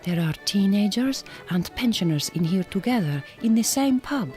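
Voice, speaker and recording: A woman's voice: mid-range voice, animated, moderate pace, a little mellow, faint music behind, natural voice. An actress playing a proud, reserved middle-aged Hungarian woman in a radio drama, with a Hungarian accent and careful, formal phrasing. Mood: disapproving, observing. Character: glamorous, proud, reserved Hungarian migrant woman, grieving mother.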